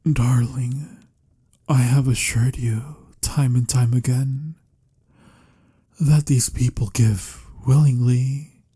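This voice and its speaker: A man playing a melancholy, courtly vampire lover in a romantic ASMR audio roleplay, speaking softly in formal, old-fashioned, measured phrases with a breathy, husky voice. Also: mid-range voice. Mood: exasperated, pleading. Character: melancholy, courtly male vampire lover, patient but pained.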